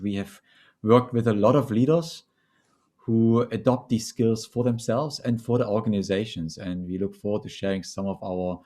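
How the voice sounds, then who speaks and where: Very mellow German-accented man. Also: on the low side. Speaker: leadership coach and trainer, webinar guest. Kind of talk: conversational self-introduction in a webinar.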